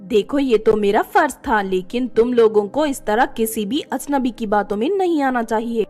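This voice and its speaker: A woman's voice: on the high side, lively intonation, moderate pace, a little mellow, soft background music, close to an everyday voice. A woman voicing a brave, earnest female village detective in a story, with a resolute manner.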